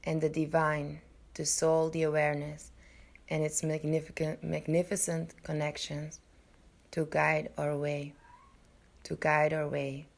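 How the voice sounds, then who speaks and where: Very slow, low-pitched woman guiding meditation. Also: completely flat delivery, gravelly. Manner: soothing, unhurried, with long pauses between short phrases. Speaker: podcast host and yoga nidra guide.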